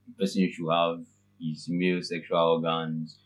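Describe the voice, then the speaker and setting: A man, a podcast guest in casual chat, thinking aloud with pauses and frequent laughs. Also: low-pitched, monotone. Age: 20s.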